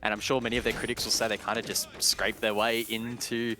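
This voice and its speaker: A man giving measured, analytical esports commentary, explaining play as a broadcast caster. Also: lowish voice, level tone, sharp tone, a little hiss or hum. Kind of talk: analytical live game commentary.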